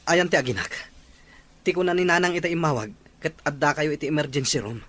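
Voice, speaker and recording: A woman's voice: low-pitched, lively intonation, quick pace, a bit bright. A woman playing a frantic young mother grieving her child in an Ilocano radio drama, with an Ilocano accent. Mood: worried.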